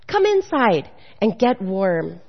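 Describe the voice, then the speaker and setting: A woman's voice: mid-range voice, big pitch swings, slow pace, creaky, lo-fi sound, voice pushed down, heavily acted. A woman voicing a kindly, welcoming cow in a Christmas storybook, recorded in an echoey hall. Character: kindly, sheltering barn cow in a Christmas fable.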